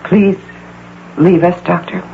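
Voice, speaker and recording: A woman's voice: lowish voice, steady tone, takes it slowly, gravelly. An actress playing a domineering, deluded executive woman who poses as a doting mother, in insistent 1980s radio drama style. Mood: polite, firm. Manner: insistent, theatrical. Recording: tinny, noisy old radio broadcast.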